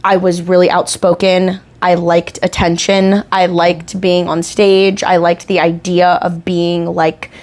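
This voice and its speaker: A woman's voice: lowish voice, level tone, unhurried, few pauses, darkish tone. A woman in her twenties, an American stand-up comedian, hosting a podcast in a chatty, giggly way with lots of filler words. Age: 20s. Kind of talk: casual chat.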